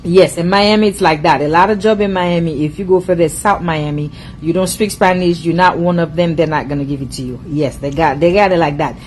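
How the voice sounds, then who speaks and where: A woman's voice: lowish voice, brisk, few pauses, a little mellow, slight background noise. A woman who immigrated to the US, speaking English with an accent, giving an emphatic, repetitive commentary straight to her viewers. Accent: foreign-accented English. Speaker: social media commentator. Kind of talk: casual monologue to viewers.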